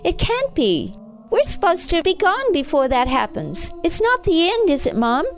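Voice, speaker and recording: Audiobook role: woman plays frightened, bitter teenage boy, high and hysterical, music underneath. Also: lively intonation, normal speed, darkish tone. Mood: panicked. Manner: wailing, hysterical complaints. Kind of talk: audiobook character voice.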